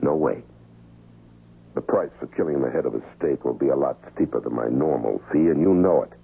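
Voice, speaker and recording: Very deep-voiced, gravelly American hitman. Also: a little fast, heavily acted. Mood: hard bargaining. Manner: terse, tough, businesslike. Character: hard-nosed professional hired assassin, male, shrewd and world-weary. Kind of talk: 1970s radio drama acting. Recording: thin, phone-like vintage radio, music.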